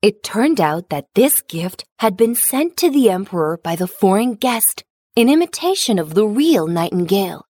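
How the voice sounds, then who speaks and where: A woman's voice: medium pitch, sing-song, normal speed, darkish tone, no echo, clean studio sound. A woman narrator reading a children's fairy tale as scripted storybook narration.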